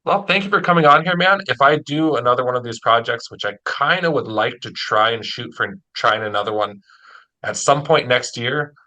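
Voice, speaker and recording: Male interviewer, middle-pitched with a bright, forward tone, relaxed conversational phrasing. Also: normal speed, poor audio. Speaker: interviewer, audio drama producer. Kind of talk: casual interview chat.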